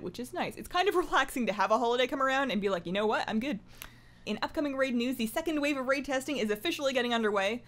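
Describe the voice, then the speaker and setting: A woman chatting casually to camera as a gaming vlogger at her desk, with playful asides and self-interruptions. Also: on the high side. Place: indoors at a home desk.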